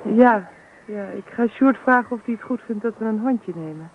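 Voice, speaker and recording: A woman's voice: on the high side, normal speed, phone-quality sound, lightly acted. Young mother, played by an actress, faint hiss. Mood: mildly defensive. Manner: careful, hesitant, proud of her child. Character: gentle, slightly anxious young mother. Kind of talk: Dutch radio play acting. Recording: faintly noisy old radio recording.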